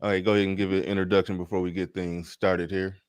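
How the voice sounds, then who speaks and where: Guy hosting a panel talk, low voice, loose and relaxed, stumbles over repeats. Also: fast, clean tone, dry recording. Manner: relaxed, repeats words when thinking aloud. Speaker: host. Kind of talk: casual panel talk show.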